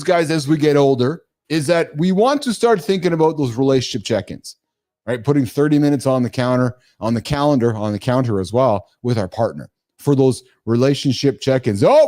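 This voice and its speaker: A middle-aged man hosts a live morning talk show with an animated, casual delivery. His voice sits a bit high for a man and often leaps up in pitch. He talks straight to his listeners, again and again calling them guys.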